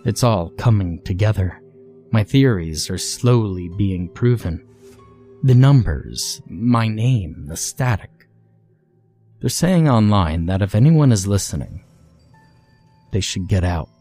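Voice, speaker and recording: A man reading a scripted first-person horror story in a measured, dramatic way with deliberate phrasing. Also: on the low side, lively intonation, dark tone, faint music behind.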